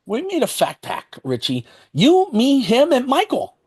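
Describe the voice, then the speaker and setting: A man's voice: extremely high pitch, huge pitch swings, measured pace, breathy edge, exaggerated voice. A man reading an eager, stammering hanger-on. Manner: pleading, stammering. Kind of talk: amateur table read.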